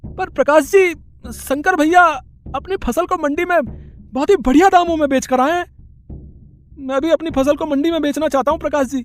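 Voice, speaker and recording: A man's voice: extremely high pitch, fairly even intonation, normal speed. A man playing an anxious, pleading poor farmer and deferential younger brother in a moral story. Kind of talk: Hindi moral story voice acting.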